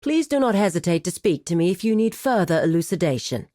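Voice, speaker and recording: A woman's voice: lowish voice, very animated, brisk, mellow, no echo, studio quality. A woman voicing a composed, formal administrator of a criminal guild in a video game, speaking precisely and with cool courtesy. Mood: formal, businesslike. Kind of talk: scripted video game character dialogue.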